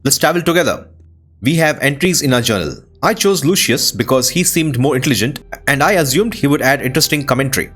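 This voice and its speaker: A man's voice: mid-range voice, lively intonation, fast, a bit bright, slightly rough, soft background music. A man hosting a gaming video with casual playthrough commentary, reacting aloud as he plays.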